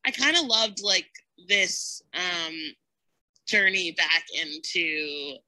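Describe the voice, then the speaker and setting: A woman's voice: lowish voice, expressive, slow pace, bright, forceful tone, rough recording. A woman chatting casually on a podcast panel, effusive and rambling, peppering her sentences with "like". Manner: effusive, rambling, heavy use of "like". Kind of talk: casual podcast chat about a TV show.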